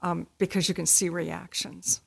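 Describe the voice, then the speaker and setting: Gravelly, creaky woman chatting. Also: fairly low pitch, little pitch movement, normal speed. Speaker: community theatre actor, interview guest. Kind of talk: conversational explaining.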